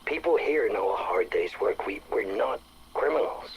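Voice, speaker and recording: A man playing a troubled, principled small-town banker in a video game audio log, confessing haltingly in a hushed, anguished way. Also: lowish voice, expressive, breathy edge, poor audio. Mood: indignant.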